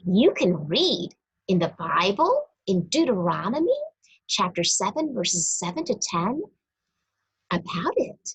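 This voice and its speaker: A woman reading a religious children's book aloud, teaching deliberately and expressively and speaking directly to young listeners. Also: medium pitch, sing-song, slightly rough, rough recording. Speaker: storybook reader for a church.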